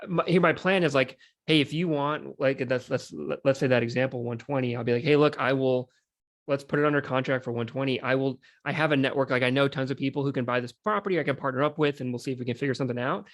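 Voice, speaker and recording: Man, casual chat, informal, halting phrasing, lots of filler words, medium pitch. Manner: informal, halting, peppers speech with "like". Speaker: real estate wholesaler in conversation.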